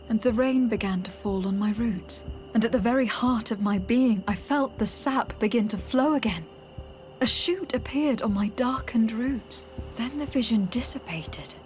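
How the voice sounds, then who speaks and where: An actress playing an earnest, composed young noblewoman in a video game, speaking gravely and carefully. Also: fairly high pitch, animated, unhurried, darkish tone, soft background music. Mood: awed, hushed.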